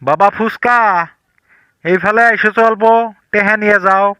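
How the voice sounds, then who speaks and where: Cartoon father , male performer: trusting poor villager, high bright voice, homely and fatherly. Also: moderate pace, no echo. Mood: gentle, fatherly. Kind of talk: comedy cartoon voice acting.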